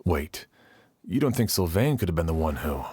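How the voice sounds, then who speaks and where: A man's voice: sits low, big pitch swings, normal speed, a little mellow, slightly rough, no echo, clean studio sound, full character voice. A man voicing a polite, earnest officer questioning witnesses at a crime scene. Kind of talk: video game voice acting.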